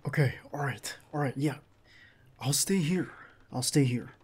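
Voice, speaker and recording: A man acting a tense, loyal, protective bodyguard in an ASMR roleplay, speaking in a halting, earnest and reassuring way. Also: mid-range voice, darkish tone, husky. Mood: worried, insistent.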